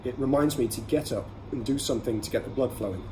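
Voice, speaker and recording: A man's voice: mid-range voice, quick pace, warm tone. Man chatting over noticeable background noise. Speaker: podcast host reviewing everyday carry products. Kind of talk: casual product review monologue. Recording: clearly audible ambient background noise.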